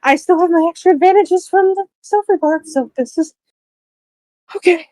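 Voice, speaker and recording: Woman, high voice, dry close sound, casual sweary game-table chat, player. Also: normal speed, mellow, no echo, low-quality recording. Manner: sweary, informal.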